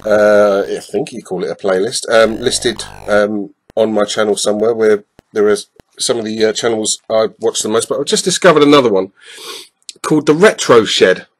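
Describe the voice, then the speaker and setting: Middle-aged bloke, bit bunged up, chatty retro game voice-over, loads of ums. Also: male voice, lowish voice, moderate pace, no echo, low-quality recording. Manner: rambling, lots of ums and uhs. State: stuffed-up nose. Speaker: retro gaming YouTuber. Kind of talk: casual gameplay commentary.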